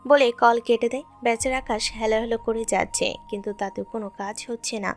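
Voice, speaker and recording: A woman's voice: a little high, fairly even intonation, fast. A woman reading scripted narration for an audio story. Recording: background music throughout.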